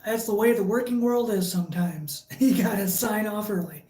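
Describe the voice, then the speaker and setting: A woman with a Michigan accent hosting a casual live stream, chatting with viewers in a relaxed, halting way with lots of fillers and restarts. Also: medium pitch. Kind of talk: casual live chat, reading viewer comments. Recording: echoey room.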